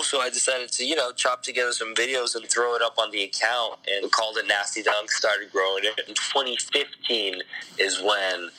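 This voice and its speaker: A man's voice: mid-range voice, pushed and bright, low-quality recording. A man in his early twenties from Sweden, chatting casually as a podcast guest. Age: early 20s. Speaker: podcast guest, company founder. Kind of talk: casual interview chat.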